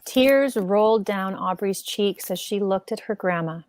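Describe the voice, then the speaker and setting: A woman reading a children's story aloud as narrator, in an expressive style. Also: medium pitch, measured pace, no echo.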